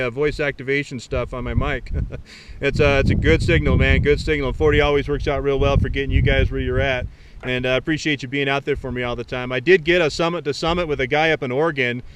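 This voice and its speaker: Man, fast casual chat, easygoing, ham radio operator outdoors, faint background noise. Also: mid-range voice, flat delivery. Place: outdoors on a rocky mountain summit.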